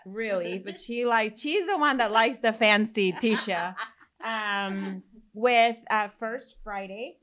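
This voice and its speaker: A woman's voice: mid-range voice, slow, crowd noise. A middle-aged woman from Mexico with a Mexican Spanish accent, hosting a podcast and chatting casually, rambling through stories with lots of fillers. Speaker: podcast host. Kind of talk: casual chat.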